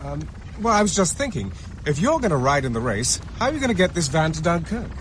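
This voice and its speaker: An actor playing a resourceful, harried English fugitive in a radio drama, hesitant and polite, with a British accent. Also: male voice, fairly high pitch, sing-song, quick pace. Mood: polite, eager. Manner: hesitant, polite, muttering to himself. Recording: clear vehicle and ambience noise.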